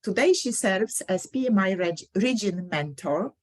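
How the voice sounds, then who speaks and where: Lady host on a video call, mid-pitched voice, stumbles a bit into the intro. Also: lively intonation. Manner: halting, apologetic restarts. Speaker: host, moderator.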